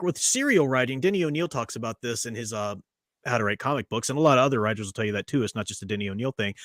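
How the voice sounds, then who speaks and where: A man hosting a casual review discussion, explaining in an animated way with some fillers. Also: a little high, no room sound, studio quality. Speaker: podcast host.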